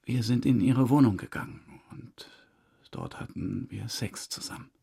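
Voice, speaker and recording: An actor playing an evasive, embarrassed suspect in a radio drama, speaking haltingly and defensively in a husky voice. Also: male voice, medium pitch, dark tone, exaggerated voice. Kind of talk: radio drama interrogation dialogue.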